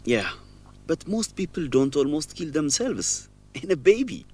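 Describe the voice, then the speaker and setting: A man's voice: a little high, sing-song, a little slow, dark tone, slightly rough, full character voice. Earnest young man, emotional swooping delivery. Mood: ashamed confessing. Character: guilt-ridden young man, former orphan, confessing a shameful secret. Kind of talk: educational radio drama acting.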